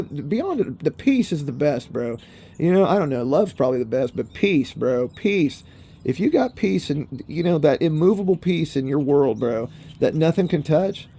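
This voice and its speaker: A man's voice: a little high, creaky, warm tone, slight background noise. A man in his fifties rambling in a casual monologue, swearing often and calling the viewers bro and people. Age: 50s. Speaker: vlogger. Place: in a car.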